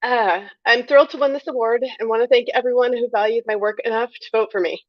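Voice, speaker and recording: A woman's voice: a little high, fast talker, no room sound, highs cut off. A woman reading a prepared acceptance speech as an award winner, in an earnest, emphatic way with formal phrasing. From United States. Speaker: award winner, critic and librarian.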